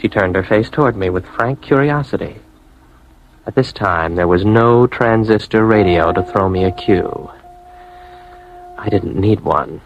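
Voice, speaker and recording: A man's voice: lowish voice, lively intonation, measured pace, dull audio, soft background music. An actor playing an earnest young advertising man in a radio drama, with an American accent, sometimes narrating his own story. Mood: brooding, dramatic. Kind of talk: old-time radio drama acting, first-person narration.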